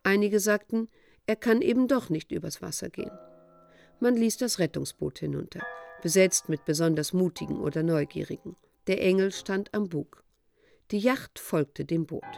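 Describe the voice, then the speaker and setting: Low, creaky-voiced woman narrator over faint music. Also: a little mellow. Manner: measured, sober, matter-of-fact storytelling. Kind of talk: literary radio drama narration.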